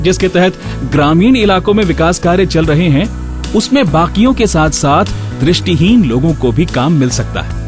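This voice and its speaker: A man presenting a radio show in a scripted narration style. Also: fairly high pitch. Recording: music bed behind the voice. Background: background music with guitar.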